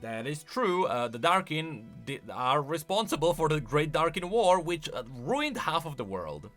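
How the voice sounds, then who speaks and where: A man's voice: on the high side, sing-song, unhurried, fairly bright tone, faint music behind. A man hosting a video, giving off-the-cuff, emphatic commentary that explains game lore.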